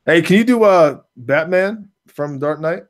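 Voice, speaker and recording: Hoarse podcast host, slangy and rambling, slightly high voice. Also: male voice, animated, a little slow. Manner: loose, slangy, rambling, laughs between lines. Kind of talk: casual podcast chat.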